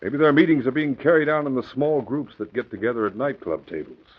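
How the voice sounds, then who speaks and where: A man acting a gruff, frustrated middle-aged police commissioner in a 1940s radio drama. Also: medium pitch, animated, brisk. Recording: muffled old 1940s radio recording.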